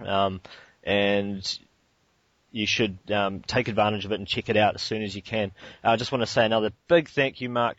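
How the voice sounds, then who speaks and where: Man, new zealand accent, host, hesitant with ums, lowish voice, dull lo-fi call sound. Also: dry recording. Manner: hesitant, many ums and restarts. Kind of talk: teleconference interview.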